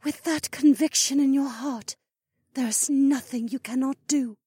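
A man voicing a tearful, dreamy oryx seer woman who speaks in slow, chanting half-whispers. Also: very high voice, steady tone, warm tone, no room sound, clean studio sound, pitched above the performer's own voice. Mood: entranced.

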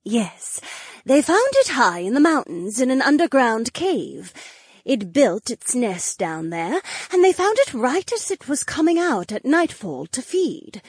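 A man's voice: very high-pitched, very animated, unhurried, slightly rough, no room sound, clean studio sound, pitched above the performer's own voice, heavily acted. A man putting on a poised, decisive noblewoman. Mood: matter-of-fact. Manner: composed and decisive. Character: strong-willed, assertive noblewoman, devoted lover.

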